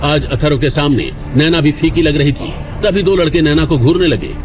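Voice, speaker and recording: A man's voice: on the high side, moderate pace, noisy background. A man narrating a scripted audio drama in a dramatic storytelling style.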